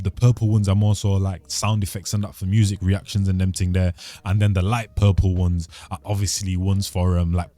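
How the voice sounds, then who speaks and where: A man's voice: deep voice, dark tone. A young man, chatting casually to his channel in a slangy, laid-back, rambling way, recorded at a home desk mic. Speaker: channel host. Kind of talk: casual vlog chat to camera. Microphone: studio mic at a desk.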